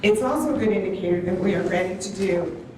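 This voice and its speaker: A woman's voice: medium pitch, lively intonation, quick pace, noisy background. A woman giving an earnest, rousing speech at a town hall podium. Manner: earnest, soft-spoken, rousing. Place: large hall on stage. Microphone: distant stand mic, room pickup. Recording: echoey hall heard from far off.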